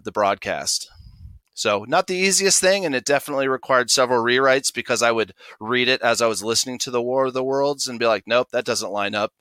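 A man's voice: lowish voice, sharp tone, clear, resonant tone, dry recording. An American man, an audio drama producer, explaining his creative process as a guest in an interview. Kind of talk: interview answers, explanatory storytelling.

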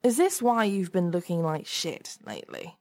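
A woman's voice: lowish voice, expressive, normal speed, darkish tone, close to an everyday voice. A woman voicing a blunt male office manager in an audiobook, dismissive, offhand and placating.

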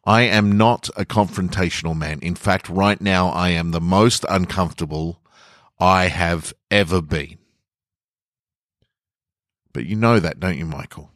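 A man's voice: low-pitched, a bit bright, no echo, clean studio sound. A man reading a story monologue as an aggrieved, uncomfortable office employee making an earnest, defiant stand. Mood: tense, wounded. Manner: deliberate, emphatic, earnest.

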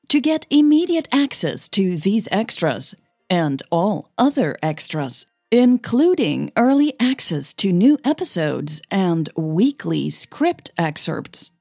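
A woman's voice: lowish voice, dark tone, no room sound, studio quality. A woman hosting a podcast, reading scripted announcements and story narration.

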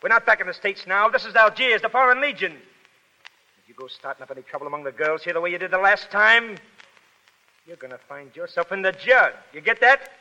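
High-pitched, barking Legion sergeant. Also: male voice, expressive, a little fast, exaggerated voice. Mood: stern, sarcastic. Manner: gruff, shouted military commands. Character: barking, bullying Foreign Legion sergeant, sarcastic and tough. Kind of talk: 1930s radio drama acting. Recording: dull vintage 1930s radio recording.

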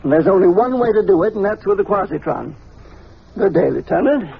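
Cranky pompous old inventor, high gravelly voice, old radio play. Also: male voice, expressive, brisk. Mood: grandiose, scornful. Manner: pompous, pedantic, chuckling. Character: eccentric, irascible older male scientist, self-important inventor. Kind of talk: old-time radio drama acting. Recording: muffled, noisy vintage radio recording.

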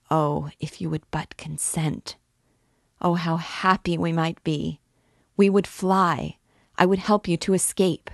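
A woman's voice: low voice, gravelly, dry recording, studio quality, exaggerated voice. A woman reading an anguished male priest who pleads desperately for love in a storybook reading. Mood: pleading.

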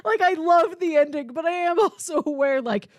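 A woman co-hosting a podcast, casually discussing a film in an animated storytelling way. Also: very high-pitched, moderate pace, no echo, clean studio sound. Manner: animated storytelling, acts out characters' lines. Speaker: podcast co-host.

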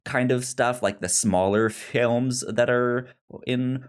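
A man co-hosting a film podcast in casual discussion, halting and stuttering, often repeating sounds and words. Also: mid-range voice, steady tone, clean tone. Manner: halting, hesitant delivery.

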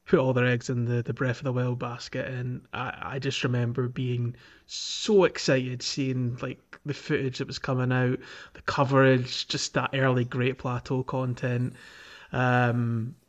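A man hosting a podcast in casual conversation, thinking aloud in a halting way. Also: mid-range voice, little pitch movement. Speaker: podcast host.

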